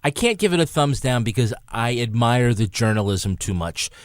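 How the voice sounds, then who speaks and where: Casual male podcast host, deeper voice. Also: big pitch swings, moderate pace, no echo. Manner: conversational, informal. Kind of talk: casual panel review and host-read ad banter.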